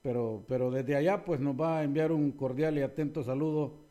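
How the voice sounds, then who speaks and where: A man's voice: a little high, fairly even intonation, normal speed, dark tone. A man hosting a local radio show, chatting in a friendly, teasing way with the people he talks to. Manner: friendly, teasing, calls guests profe and hermano. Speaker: radio host. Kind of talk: casual radio interview.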